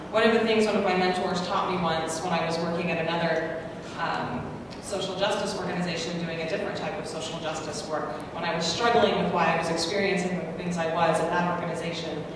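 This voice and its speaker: A woman from the United States speaking as a panelist, earnest and self-deprecating, with many ums. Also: fairly low pitch. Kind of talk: conference panel talk. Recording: echoey hall from far off, noisy.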